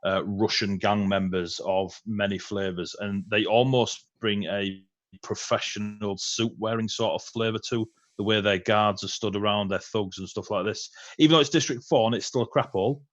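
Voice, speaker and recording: Man, game master, low voice, dry close sound, dull lo-fi audio. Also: fairly even intonation, moderate pace, dry recording. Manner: chatty, rambling with fillers and laughs. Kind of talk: narrating a tabletop game.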